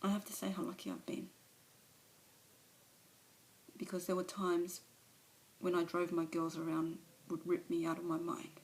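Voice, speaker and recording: A woman's voice: lowish voice, completely flat delivery, normal speed, a little mellow, slight background noise, natural voice, low-quality recording. A woman performing a monologue as a remorseful recovering alcoholic mother, speaking confessionally and sometimes dropping to a whisper, recorded on a phone camera. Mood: ashamed.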